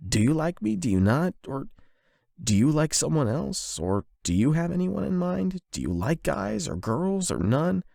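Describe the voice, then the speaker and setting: A man performing an intimate confession roleplay as a nervous, lovestruck young man, speaking in a halting, self-doubting, conversational way into a close microphone. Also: on the high side, very animated, vocal fry, warm tone, no echo. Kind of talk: emotional confession roleplay, ASMR-style monologue.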